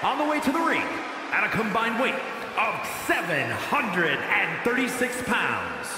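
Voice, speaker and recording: Big wrestling ring announcer, high shouty voice swooping way up and down, drags out names, grand game style. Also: male voice, very animated. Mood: grand, rousing. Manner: drawn-out, emphatic shouted names. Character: booming, formal male wrestling ring announcer. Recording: echoing arena with very loud noise.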